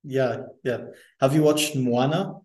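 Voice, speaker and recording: A man's voice: medium pitch, steady tone, a little slow, darkish tone. A man who grew up in Lebanon, talking as an interview guest, often repeating himself and restarting sentences. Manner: repeats words, many restarts. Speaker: guest engineer. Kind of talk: conversational interview. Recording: echoey room.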